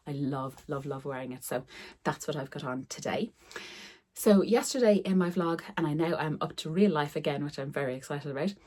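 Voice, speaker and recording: A woman's voice: low-pitched, dark tone. A middle-aged woman who is losing her voice, chatting casually to camera at home, often repeating words for emphasis. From Ireland. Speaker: sewing vlogger. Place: room upstairs at home.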